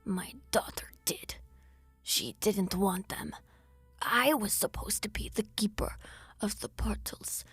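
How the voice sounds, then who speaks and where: A woman voicing an eerie old woman who guards cursed portals, speaking in a slow, hushed, raspy whisper. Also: medium pitch, no echo, faint music behind, heavily acted. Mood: ominous.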